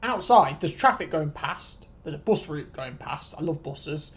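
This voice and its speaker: Casting: vlogger in their twenties, medium-pitched voice, casual storyteller, rambling, self-deprecating, sweary. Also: animated, a little slow. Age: 20s. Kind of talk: casual storytelling rant to viewers.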